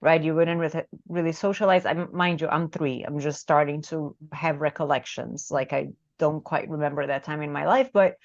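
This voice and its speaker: Woman, Brazilian Portuguese accent, native Portuguese speaker, lower-pitched, reflective interview talk, dry muffled call sound. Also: fairly even intonation, moderate pace, gravelly, hardly any pauses, dry recording. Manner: reflective, tag questions like "right?". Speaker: marriage and family therapist, guest author. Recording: dull, dry lo-fi call audio.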